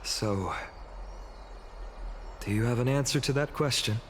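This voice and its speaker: A man's voice: mid-range voice, a little slow, a little hiss or hum, natural voice. A young man playing an earnest, humble village hero in a video game, speaking sincerely and politely. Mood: hesitant, nervous. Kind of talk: scripted game voice acting. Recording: music behind the voice.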